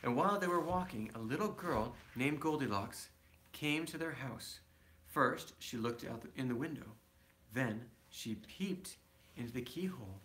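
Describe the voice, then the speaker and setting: A man reading a children's story aloud as a father would, casually and haltingly, correcting himself as he goes. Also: mid-range voice, fairly bright tone, a little hiss or hum, low-quality recording.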